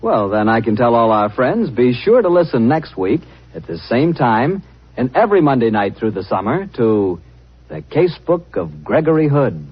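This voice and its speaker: A man's voice: mid-range voice, sing-song, normal speed, husky. A man with an American accent working as announcer and host in polished 1940s radio style. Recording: dull, muffled old radio recording. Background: organ music.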